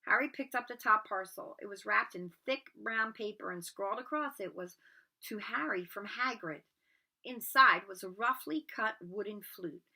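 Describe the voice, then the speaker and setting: A woman narrating a storybook aloud in an expressive read-aloud style. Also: medium pitch, moderate pace, few pauses, poor audio.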